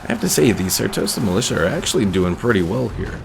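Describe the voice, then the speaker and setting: Low, creaky-voiced man streaming a game. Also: sing-song, fast talker, noisy background. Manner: chatty, reading viewer comments aloud. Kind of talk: casual live gameplay commentary.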